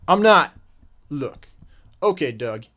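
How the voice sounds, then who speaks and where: A man's voice: fairly high pitch, very animated, takes it slowly, pushed and bright, full character voice. Stern, probing male detective played by an actor. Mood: pressing. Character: firm, businesslike male detective. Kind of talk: radio drama acting.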